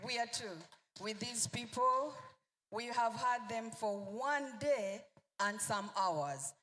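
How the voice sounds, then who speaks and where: A woman's voice: fairly high pitch, slow, in a large hall, background noise. A woman teacher from the United States earnestly appealing to parents at a convention. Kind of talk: addressing a convention crowd.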